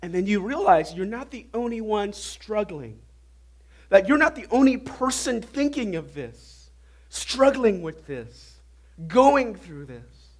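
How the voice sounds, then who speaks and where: A pastor preaches with a hoarse, raspy voice as he gets over a flu, his pitch sitting high for a man. He talks in an animated way and keeps quizzing the congregation with questions. He is speaking in a church hall before an audience. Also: takes it slowly. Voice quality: normally deep voice, now raspy. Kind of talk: sermon.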